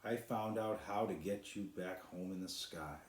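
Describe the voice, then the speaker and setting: A man's voice: low voice, normal speed, lightly acted. Man as a determined storybook boy, echoey room. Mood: earnest. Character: determined, encouraging young boy adventurer helping his friend.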